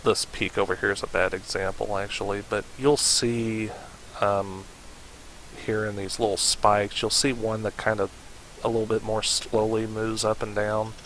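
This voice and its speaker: A man narrating an unscripted software tutorial in an informal, hesitant way, as if thinking aloud. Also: on the low side, flat delivery, moderate pace, rough recording. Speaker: tutorial presenter. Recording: hissy home voice-over recording.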